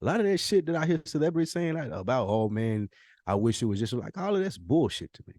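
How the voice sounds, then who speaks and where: A man's voice: medium pitch, big pitch swings, fast, a little mellow, no room sound, clean studio sound. A man co-hosting a podcast, arguing his side bluntly and swearing in casual chat. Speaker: podcast co-host.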